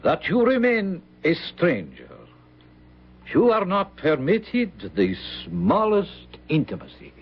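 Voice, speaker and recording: An actor playing a genial, insistent doctor in a radio drama, a husky voice and courtly phrasing. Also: male voice, high-pitched, wildly swooping pitch, slow, background noise, heavily acted. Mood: firm, persuasive. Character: genial, insistent Spanish doctor, mature man. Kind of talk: old-time radio drama acting. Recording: dull lo-fi radio drama recording.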